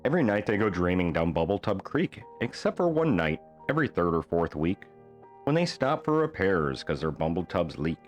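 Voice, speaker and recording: Low-pitched man, very animated storybook reader. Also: moderate pace, soft background music. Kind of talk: expressive reading of a rhyming children's bedtime story.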